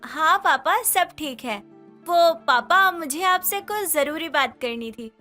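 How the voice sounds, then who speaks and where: A woman's voice: high-pitched, animated, takes it slowly, pushed and bright, soft background music, exaggerated voice. A woman voicing a meek, dutiful young daughter-in-law in a cartoon, speaking politely and hesitantly. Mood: pleading.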